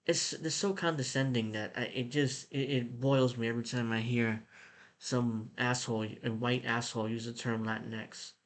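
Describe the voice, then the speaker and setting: A man's voice: medium pitch, fairly even intonation, normal speed. A man from the United States giving blunt, irritated commentary while reading an article aloud at his computer, with frequent restarts. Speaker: political commentator.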